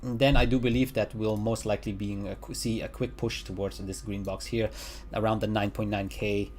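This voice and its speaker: A man's voice: lowish voice, little pitch movement, darkish tone, a little hiss or hum. A man casually talking through market charts, with lots of fillers and restarts, recorded at home. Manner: halting, many fillers and restarts; chuckles at own remarks. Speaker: crypto market analyst. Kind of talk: casual chart analysis commentary.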